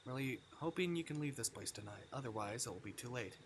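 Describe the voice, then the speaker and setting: A man's voice: medium pitch, lively intonation, quick pace, slight background noise, close to an everyday voice. A man voicing an anxious young male convenience store clerk in a visual novel, earnest, hesitant and pleading. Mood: grave warning.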